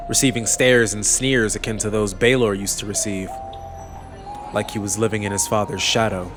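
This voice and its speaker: A man narrating a scripted fantasy audiobook as the storyteller. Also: lowish voice.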